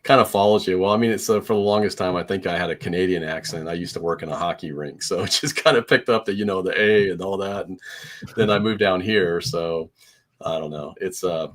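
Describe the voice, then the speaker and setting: Low-voiced, easygoing man who laughs often. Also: fairly quick. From Iowa. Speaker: guest reseller. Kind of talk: casual interview chat.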